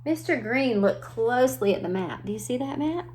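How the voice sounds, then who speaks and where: A woman's voice: a little high, lively intonation, normal speed, a little mellow. Lady preschool teacher doing a read-aloud, asks little questions as she goes, bit of background noise. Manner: simple explanations and questions to young listeners. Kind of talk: children's read-aloud with asides.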